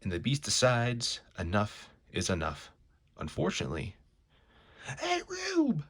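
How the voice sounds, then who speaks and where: A man's voice: sits low, lively intonation, moderate pace, a bit bright. A man reading a comic book aloud as an amateur narrator, in a dramatic storytelling style.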